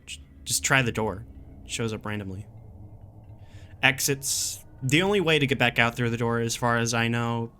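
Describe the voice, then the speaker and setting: A man playing a jaded, gruff, exasperated wanderer recording a survival warning, in a sardonic and emphatic dramatic horror reading. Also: medium pitch, normal speed, pauses often, sharp tone, faint music behind, lightly acted. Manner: sardonic, emphatic, colloquial grumbling.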